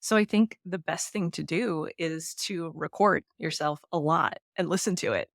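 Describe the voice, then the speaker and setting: A woman with a naturally slightly low voice, co-hosting a casual book-discussion podcast and talking thoughtfully with many fillers. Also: normal speed, vocal fry, no room sound, studio quality. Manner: thoughtful, with frequent "you know" and "um". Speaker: podcast co-host.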